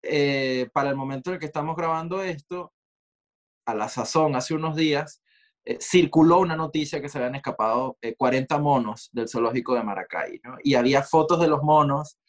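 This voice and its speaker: A man's voice: mid-range voice, fairly quick. A Venezuelan man answering interview questions in a reflective, digressive way, full of fillers and asides. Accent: Venezuelan Spanish. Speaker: interview guest, writer. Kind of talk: conversational interview.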